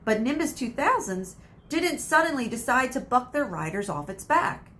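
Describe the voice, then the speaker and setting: A woman narrating a children's story expressively, with dramatic emphasis and pauses for suspense. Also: fairly high pitch, big pitch swings, a bit bright. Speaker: read-aloud storyteller, teacher. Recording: faint background noise.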